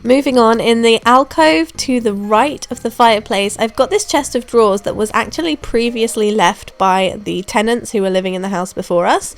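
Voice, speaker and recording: Young woman, hoarse and gravelly, slightly high, chatty DIY voiceover. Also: moderate pace, hardly any pauses. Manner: chatty, relaxed, self-deprecating. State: hoarse from a throat infection. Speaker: home renovation vlogger. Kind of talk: casual voiceover narration. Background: soft background music.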